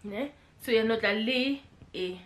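A woman's voice: a little high, measured pace, echoey room. A young woman from South Africa with a Pretoria accent, chatting playfully in a casual vlog, mixing English and local slang and breaking into bits of song. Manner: playful and animated, bursts into snatches of song. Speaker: vlogger. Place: indoors at home, in front of a brick wall.

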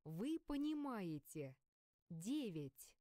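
Woman saying vocab words super slow and clear, close dry mic. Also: medium pitch, big pitch swings, mellow, dry recording. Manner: slow, careful word-by-word enunciation. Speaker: language course audio reader. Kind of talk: reading isolated vocabulary words.

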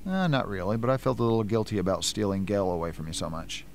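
A cerebral scientist and inventor, played by a man in a radio drama. He explains things in a measured way with a lowish voice, over faint room noise. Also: animated. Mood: explaining. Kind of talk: amateur radio play acting.

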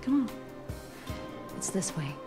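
A woman's voice: slow, music in the background, lightly acted. A woman playing a flirtatious, needy woman with volatile moods in a naturalistic video game cutscene, teasing and coaxing. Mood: playful, teasing.

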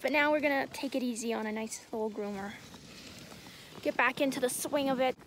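A woman's voice: sits high, brisk, slight background noise, low-quality recording. A young woman narrating her own ski trip vlog in a playful, joking tour-guide way, outdoors on the slopes. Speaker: vlogger. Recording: with clear background music.

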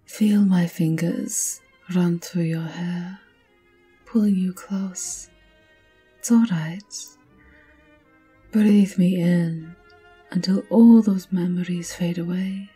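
Woman playing a dark-voiced, slow, seductive vampire countess. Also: lowish voice, steady tone, exaggerated voice. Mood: seductive, possessive. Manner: imperious, slow, teasing, often dropping to breathy whispers. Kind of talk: ASMR roleplay monologue. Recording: violin music behind the voice.

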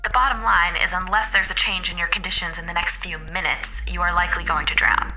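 A woman's voice: fairly low pitch, fairly even intonation, fast, pushed and bright, faint music behind, rough recording. A woman voicing an anxious, apologetic space station AI in an audio drama, speaking in a hesitant, technical way. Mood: nervous.

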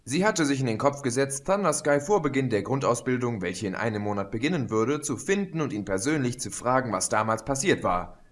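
Casting: man as steady audiobook narrator, medium pitch, even delivery. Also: brisk, hardly any pauses. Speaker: audiobook reader. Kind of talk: scripted narration.

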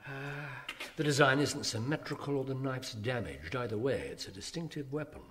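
An English actor playing an incisive, brisk male detective with dry authority in a radio drama. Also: medium pitch, animated, fairly bright tone, lightly acted. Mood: keen, musing. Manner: incisive, clipped, questioning.

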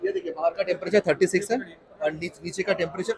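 A man's voice: sits high, fairly even intonation, fast talker. A man from eastern India with an Indian accent, giving an emphatic, repetitive sales demonstration as an exhibitor. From Kolkata, India. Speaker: exhibitor, product seller. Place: industrial exhibition stall.

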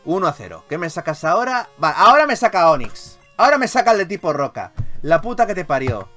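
A man with an accent from Spain doing excitable, theatrical game commentary, swearing freely and repeating his phrases. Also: high voice, fast talker, faint music behind. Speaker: gameplay video host.

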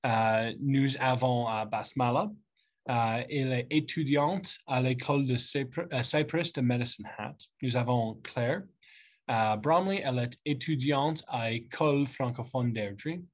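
A man in his thirties whose first language is English, with an English-speaking Canadian accent, giving a formal welcome speech as a politician hosting a youth panel. He talks haltingly, often pausing to search for words in his second language. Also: mid-range voice. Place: office with lamp and bookshelf, indoors. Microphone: webcam on a video call.

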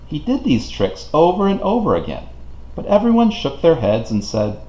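A man reading a children's storybook aloud in an expressive, deliberate way for young listeners. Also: on the high side. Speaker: children's book reader. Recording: roomy, echoing home recording.

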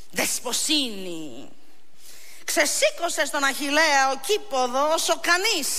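Epic stage god acted by a woman: imperious mocking king, theatrical, raised pitch, reverberant hall. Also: pushed and bright, exaggerated voice. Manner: emphatic, slow, theatrical.

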